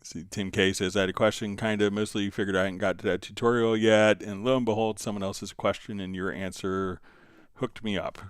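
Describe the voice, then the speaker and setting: A man hosting a live stream, casually explaining music software step by step and answering viewer questions in an easygoing way. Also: on the low side, steady tone, fairly quick, smooth, dry recording, studio quality.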